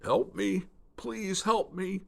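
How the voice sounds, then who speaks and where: A man voicing a wounded, downhearted male alligator in a children's story, croaking and groaning in a halting way. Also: high voice, fairly even intonation, fairly bright tone, voice pushed up, exaggerated voice. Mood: desperate, pleading. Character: wounded, downhearted male three-legged alligator begging for help.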